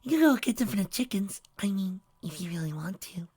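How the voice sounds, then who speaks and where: A woman voicing a shy, gentle pegasus mare who talks to animals, speaking timidly in a soft, breathy whisper. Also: medium pitch, animated, brisk.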